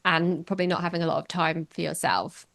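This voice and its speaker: A woman's voice: lowish voice, fairly even intonation, fast, creaky, a bit bright. A woman hosting a podcast, narrating a scripted intro and then interviewing a guest with encouraging questions. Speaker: podcast host.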